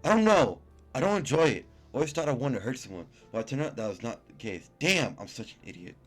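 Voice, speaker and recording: A man's voice: medium pitch, sing-song, fast, a bit bright, faint music behind, pitched above the performer's own voice. A man voicing a tormented, self-loathing young psychopath from a visual novel, intense and emphatic. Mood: agitated, regretful. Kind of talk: dramatic read-aloud of visual novel dialogue.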